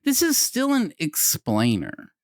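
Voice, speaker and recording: Animated forties man, dry close sound. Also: sits high, slow, no room sound. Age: 40s. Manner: animated, swearing, frequent restarts. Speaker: podcast co-host. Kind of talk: casual chat.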